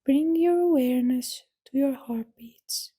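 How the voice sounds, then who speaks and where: A woman with a soft, breathy voice leads a guided yoga nidra relaxation in a soothing, gentle manner, with long pauses between instructions. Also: high-pitched. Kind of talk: guided relaxation meditation, scripted.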